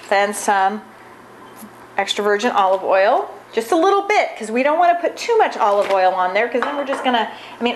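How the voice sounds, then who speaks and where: A woman in her forties hosting a casual cooking demonstration in a large home kitchen, chatting upbeat while she preps food with her hands. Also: mid-range voice. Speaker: cooking show host. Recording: audible background noise.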